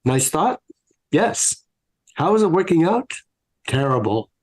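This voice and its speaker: A man's voice: a little high, sing-song, slow pace, darkish tone. A man announcing and narrating in a scripted, old-time radio show style.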